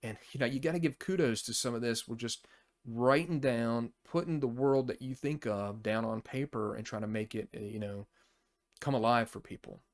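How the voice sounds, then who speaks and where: A man's voice: medium pitch, normal speed. Casual rambling man reviewing games. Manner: conversational, rambling, thinking aloud. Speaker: tabletop RPG review channel host. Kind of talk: casual unscripted overview commentary.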